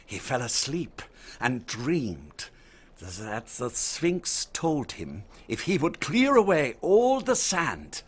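An actor playing a courteous, learned German archaeologist with a German accent, speaking like a formal storyteller in a radio drama. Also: male voice, medium pitch, wildly swooping pitch, takes it slowly, breathy edge, full character voice. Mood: reverent, explanatory.

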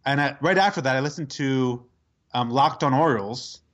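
A man co-hosting a podcast, telling a sports story in casual chat, incredulous and quick to laugh. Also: medium pitch, a bit bright. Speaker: podcast co-host.

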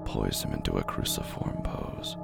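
Horror narrator: low, heavily creaky, half-whispered, dramatic deliberate phrasing over loud music. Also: wildly swooping pitch. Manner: hushed, dramatic, breaking lines into short deliberate phrases. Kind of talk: scripted horror fiction narration.

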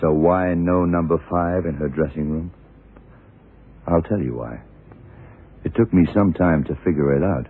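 An actor playing a blunt, self-assured police detective in a 1970s radio drama, questioning deliberately and methodically. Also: male voice, low-pitched, husky, phone-quality sound. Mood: accusing, assured. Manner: deliberate, probing, punctuated with yeah and right. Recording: noisy, band-limited old radio recording.

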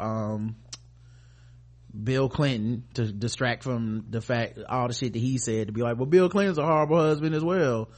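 An American man co-hosting a podcast, chatting casually about the news in a profane, slangy, sardonic way. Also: mid-range voice. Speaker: podcast co-host. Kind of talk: casual news commentary chat.

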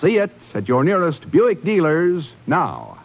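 A man's voice: a little high, huge pitch swings, unhurried, dull audio, noisy background. A man announcing in a polished, emphatic 1950s radio style, reading scripted program and sponsor copy. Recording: band-limited old radio broadcast recording. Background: music.

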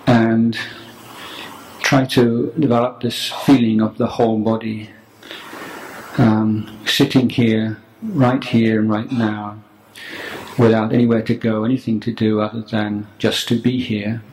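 Slow, low-voiced man guiding meditation, echoey room. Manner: calm, deliberate, with long pauses. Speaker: meditation teacher. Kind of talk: spoken guided meditation instruction. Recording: roomy, echoing, faint background noise.

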